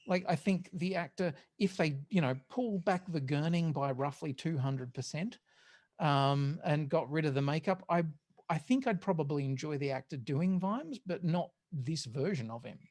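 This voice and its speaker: A podcast host with a middling pitch reviews casually among a panel. The delivery is halting and wry, peppered with swearing. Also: darkish tone.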